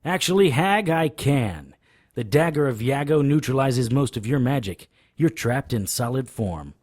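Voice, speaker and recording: An actor playing a wry, hard-boiled occult investigator, narrating and speaking in a scripted audio drama. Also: male voice, medium pitch, lively intonation, measured pace, a little mellow, dry recording, studio quality. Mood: cocky, taunting. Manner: wry, sardonic.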